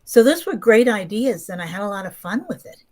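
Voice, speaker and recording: A woman's voice: mid-range voice, lively intonation, a little fast, a crowd in the background. A woman in her seventies telling stories casually as an interview guest, emphatic and often acting out what people said. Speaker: podcast guest, actress.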